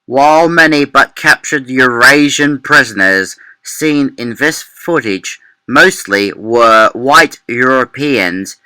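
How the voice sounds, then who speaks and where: Slow, very bright, forceful male presenter. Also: medium pitch, animated, clear, resonant tone, no echo, rough recording. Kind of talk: scripted narration, political commentary.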